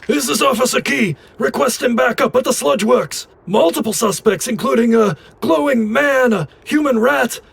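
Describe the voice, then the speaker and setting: A jittery cartoon policeman with a very high, creaky voice. He grumbles wearily and excitably, with sirens and vehicle noise behind him. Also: normal speed, hardly any pauses, exaggerated voice. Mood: alarmed, shouting. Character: weary, jittery male city police officer. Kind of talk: animated audio drama acting.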